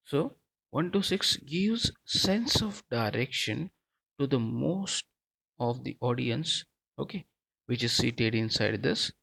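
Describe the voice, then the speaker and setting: A man lecturing in an explanatory, halting way, repeating himself and often checking in with a tagged okay. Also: mid-range voice, creaky, slightly rough. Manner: halting, repetitive, frequent okay as a tag. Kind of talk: explanatory technical lecture over slides.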